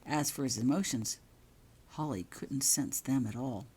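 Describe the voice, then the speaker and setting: A woman reading her own fiction aloud as expressive audiobook-style narration. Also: extremely low pitch, a little slow, slightly rough. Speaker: author reading own story.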